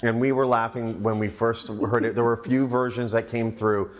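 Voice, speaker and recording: A man's voice: medium pitch, fairly even intonation, normal speed, lo-fi sound, crowd noise. A man in an everyday middle register talks through his film's backstory, eager and rambling. He restarts sentences often as he tells his stories. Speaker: film director. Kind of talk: interview, storytelling anecdotes.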